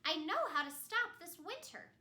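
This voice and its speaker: A woman puts on a young princess for a children's storybook. She is earnest and emphatic. Her high voice swings up and down, with room echo around it. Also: big pitch swings, moderate pace, pushed and bright, full character voice. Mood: determined.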